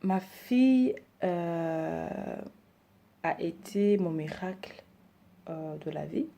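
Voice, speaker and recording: A woman in her thirties, from Rwanda and raised in Belgium, introducing herself and her decor business, hesitant with many pauses. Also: fairly low pitch, vocal fry, warm tone. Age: 30s. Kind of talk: personal introduction to camera.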